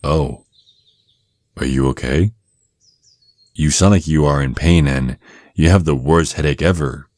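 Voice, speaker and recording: A man playing a menacing, possessive vampire neighbor in an intimate ASMR roleplay, speaking in a slow, teasing, seductive way straight to the listener. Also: a bass voice, a little mellow, no room sound. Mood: falsely friendly. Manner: slow, teasing, deliberate, with breathy drops toward a whisper.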